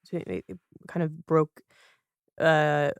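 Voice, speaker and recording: A woman's voice: low-pitched, level tone, takes it slowly, clear, resonant tone, no room sound, studio quality. A woman hosting a podcast in a casual conversational style, thoughtful and wordy as she rephrases ideas. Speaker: podcast host.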